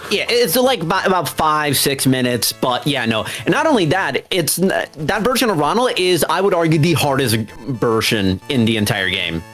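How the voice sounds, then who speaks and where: A man speedrunner chatting casually as live commentary, halting and often repeating his words. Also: fairly high pitch, very animated, a bit bright, faint music behind. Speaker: speedrunner in the race.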